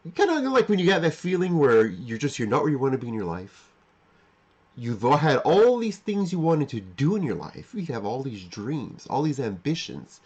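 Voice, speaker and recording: Middle-aged man with animated, swinging pitch. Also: husky, highs cut off. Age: 40s. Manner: halting, repeats and rephrases phrases, emphatic. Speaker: livestream host. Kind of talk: unscripted reflective monologue to live chat.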